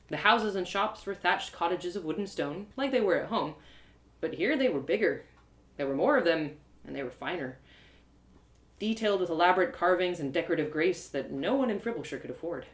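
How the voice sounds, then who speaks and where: Animated woman storyteller, lower-pitched and roomy. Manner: expressive, dramatic. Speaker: storyteller performing a one-woman audio drama. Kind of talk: animated first-person fantasy story narration in character. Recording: roomy home live reading.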